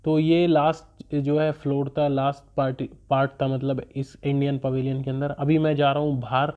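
A man's voice: medium pitch, little pitch movement, moderate pace. A young man chatting casually in a travel vlog, rambling with loose fillers as he walks viewers around. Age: young adult. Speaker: vlogger. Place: small room in front of a curtain, then walking through an expo. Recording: tinny phone-like sound.

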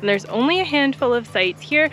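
A young woman vlogger talking to a handheld camera outdoors in a wooded park, giving a clear, practical tour of a campground with friendly tips. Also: high voice, animated, brisk, a bit bright. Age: young adult. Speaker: travel vlogger touring a campground. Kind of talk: informative park tour narration. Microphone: camera held at arm's length.

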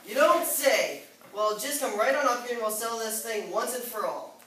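A woman's voice: on the high side, normal speed, a bit bright, natural voice. Lady as big bold goat brother, firm talk, far from mic, echoey w faint noise, kids fairy tale. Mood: bold, challenging. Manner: firm, challenging. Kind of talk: children's fairy-tale play.

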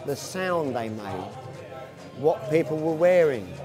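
A middle-aged man speaks slightly high in pitch, telling his story slowly and reflectively. He sits indoors in a cafe for a documentary interview. A clear music bed runs underneath his voice. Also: big pitch swings.

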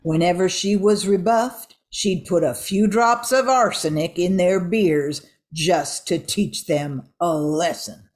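A woman's voice: fairly low pitch, slow, few pauses, darkish tone. An older woman reading scripted narration for an amateur radio drama.

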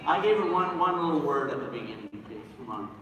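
A man's voice: medium pitch, lively intonation, fast, a bit bright. A man hosting a casual onstage interview in a chatty, encouraging way, heard through the PA from back in the audience. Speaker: panel host and voice director. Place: large convention hall, on stage. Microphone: stage PA picked up from far back in the audience. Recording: echoey hall from far off, noisy crowd.

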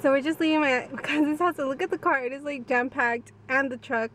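A woman's voice: sits high, fairly quick, over music. A young woman in her twenties chatting casually to a handheld camera as a beauty vlogger, giggly and full of "like". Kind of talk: casual vlog chat. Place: car, home and stores. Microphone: handheld camera at arm's length.

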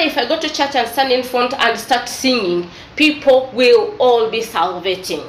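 A young adult woman with an East African English accent, giving an exasperated, preachy opinion commentary. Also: a little high. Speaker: vlogger. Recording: echoey room with faint noise.

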